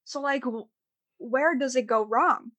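A woman's voice: high-pitched, a little slow, fairly bright tone, dry recording. Chatty young woman, dry close sound. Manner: rambling, many fillers and restarts. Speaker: podcast co-host. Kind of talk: casual podcast chat.

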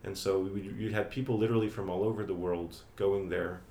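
Deep creaky-voiced young man, echoey room. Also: fairly quick. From France. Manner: relaxed, with frequent ums and uhs. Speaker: interview guest, teacher. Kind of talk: casual interview answers. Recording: echoey room with faint ambient noise.

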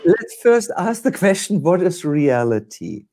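Slow-talking man with German accent. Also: high-pitched, huge pitch swings, dark tone. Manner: hesitant fillers, chuckling, vivid examples. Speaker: spiritual teacher. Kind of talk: answering in a spiritual discussion.

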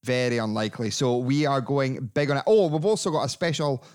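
A man's voice: mid-range voice, fairly quick, darkish tone, smooth. A man hosting a podcast in a casual, joking way. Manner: chatty, jokey, reads sponsor plugs. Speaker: podcast host.

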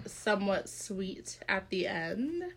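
A woman's voice: mid-range voice, expressive, slow pace, creaky, breathy edge. A young woman co-hosting a podcast in casual chat, congested, talking loosely with lots of fillers and laughter. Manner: chatty, lots of "like", laughs often. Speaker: podcast co-host.

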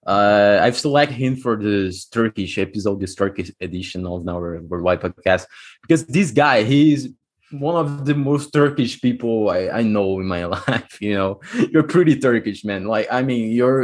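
A man's voice: fairly low pitch, normal speed. A young Brazilian man hosting a casual podcast chat over a video call, loose and exclamatory, swearing and laughing often. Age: young adult. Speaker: podcast host.

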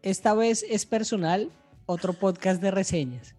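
A man's voice: high voice, measured pace, darkish tone, soft background music. A man with a Colombian accent hosting a podcast in a casual, informal review chat, phrasing things a little hesitantly. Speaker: podcast host.

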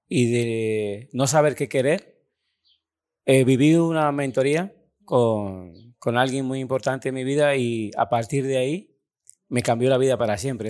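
A man's voice: mid-range voice, a little mellow. Earnest Cuban man telling his story. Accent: Cuban Spanish. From Cuba. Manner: earnest, slow and emphatic, with sudden quick runs. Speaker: podcast guest, personal development coach. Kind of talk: storytelling interview answers.

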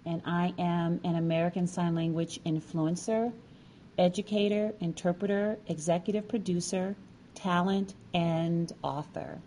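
A woman storyteller delivering a scripted personal narration with measured, formal phrasing. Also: lowish voice, steady tone, mellow, a little hiss or hum. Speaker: featured storyteller and sign language educator.

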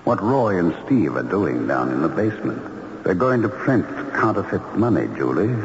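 Deep, echoing, lovelorn ghost. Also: male voice, very animated, moderate pace. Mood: earnest, hesitant. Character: lovelorn, courteous male ghost haunting an old house. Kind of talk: old-time radio drama acting. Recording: muffled, noisy old radio, long echo.

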